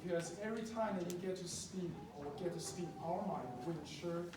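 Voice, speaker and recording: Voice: medium pitch, brisk. A speaker from Taiwan giving an impassioned, emphatic public speech at a meeting, repeating phrases for effect. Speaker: public speaker at a school board meeting, treats patients. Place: indoor public meeting room. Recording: distant mic in echoey, noisy meeting room.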